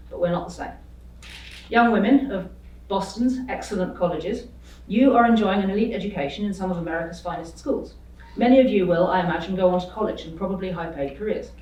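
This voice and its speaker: A woman's voice: medium pitch, darkish tone, distant mic. A woman panelist delivering a prepared speech in an earnest, urging, declamatory way. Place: lecture hall. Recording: roomy hall, voice from off mic.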